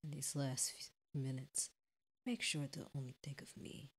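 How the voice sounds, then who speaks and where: A woman's voice: extremely low pitch, steady tone, normal speed, noisy background. A woman voicing a bitter, menacing young male killer from a visual novel, cold, accusing and controlling. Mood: quiet menace.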